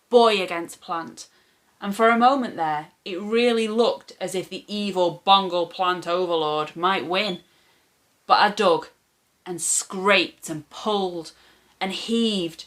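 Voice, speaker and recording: A woman reading a children's story aloud as a storyteller, with expressive, animated phrasing for young listeners. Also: mid-range voice.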